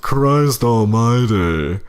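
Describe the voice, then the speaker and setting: A man's voice: mid-range voice, lively intonation, extremely slow, clean tone. A man playing a pompous, fussy old husband reading a horror story aloud for comedy. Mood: dramatic reading. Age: older.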